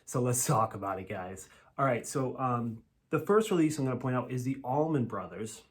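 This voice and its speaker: Man, New England accent, middle-aged, casual vlog host, relaxed, enthusiastic, trailing off at phrase ends. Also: mid-range voice, normal speed. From New Jersey, raised in Massachusetts. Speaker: vinyl collector host.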